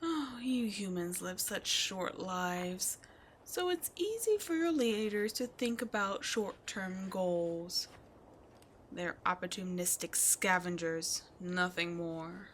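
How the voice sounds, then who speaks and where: Woman performing a seductive villain demon queen, ASMR roleplay, haughty, cruel, breathy, unhurried delivery, noisy ambient backdrop. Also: lowish voice, animated. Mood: haughty, persuasive. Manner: slow, deliberate, haughty, often breathy and whispered.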